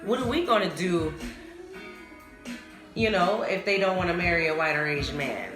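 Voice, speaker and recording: Low-voiced American woman, blunt podcast host. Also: animated, normal speed. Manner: blunt, profane, opinionated. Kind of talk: casual solo podcast talk. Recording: roomy echo, music behind the voice. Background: music.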